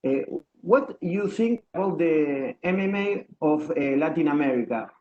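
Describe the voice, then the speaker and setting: Argentine spanish-accented reporter, slightly high voice, halting english, dull lo-fi call sound. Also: male voice, lively intonation. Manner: halting, searching for English words.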